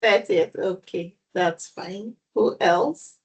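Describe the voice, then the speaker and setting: A woman hosting an online exam prep session over a video call, welcoming people in a measured way. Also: lowish voice, slightly rough.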